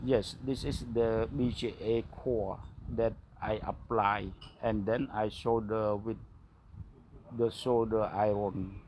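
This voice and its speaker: A man with a non-native English accent narrating a hands-on repair tutorial as a technician, speaking in short, broken, halting phrases. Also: on the low side, little pitch movement, noisy background. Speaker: phone repair technician and instructor.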